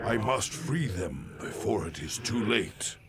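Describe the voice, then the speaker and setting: An actor playing a solemn, mysterious alien warrior who narrates in a measured, grave way. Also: male voice, on the low side, sing-song, heavy background noise. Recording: loud game sound effects behind.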